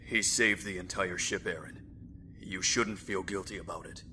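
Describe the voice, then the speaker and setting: A man playing a solemn, steady military commander in a sci-fi anime parody. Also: low voice, moderate pace, reverberant, in a large hall, slight background noise. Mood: consoling. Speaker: fleet commander.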